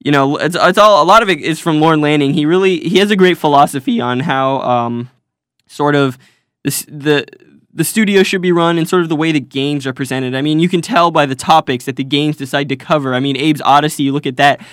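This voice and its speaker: A man's voice: on the high side, fairly quick, fairly bright tone, smooth, dry recording, clean studio sound. A man hosting, wrapping up an interview in a chatty, enthusiastic way with many fillers. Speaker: host. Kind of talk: casual recorded wrap-up narration.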